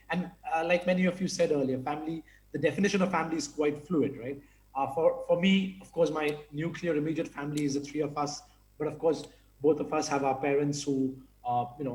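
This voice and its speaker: A man's voice: a little high, reverberant, rough recording. A man from India speaking as a panelist in an online forum, talking casually with many fillers. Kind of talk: online panel talk with slides. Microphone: video call.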